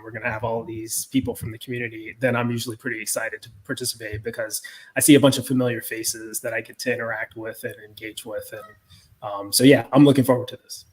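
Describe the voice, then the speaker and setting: A man with a mid-pitched, very flat and even delivery. He speaks casually and hesitantly, stays upbeat, and sounds like a former host returning as a guest on a livestream panel. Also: husky, rough recording.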